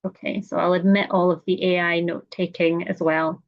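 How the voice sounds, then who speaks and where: A woman's voice: lowish voice, little pitch movement, moderate pace. A woman with a Scottish accent hosts an online meeting. She talks through housekeeping in a chatty, welcoming way with frequent "um" fillers. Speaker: webinar host. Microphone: computer or headset mic on a video call. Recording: slightly dull video-call audio.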